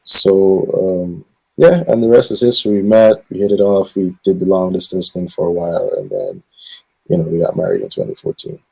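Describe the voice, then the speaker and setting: A man's voice: deep voice, lively intonation, moderate pace, gravelly, husky, poor audio. A man with a Guyanese accent, a gospel singer in his thirties, talking about his life in an interview with lots of fillers. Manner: rambling with many fillers. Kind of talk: casual interview answers.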